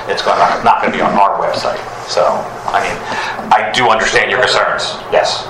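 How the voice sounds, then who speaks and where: A man's voice: on the low side, steady tone, brisk. A man taking part in a casual board meeting, joking and laughing often as he makes a motion. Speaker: board member making a motion. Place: meeting room. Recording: echoey room, background noise.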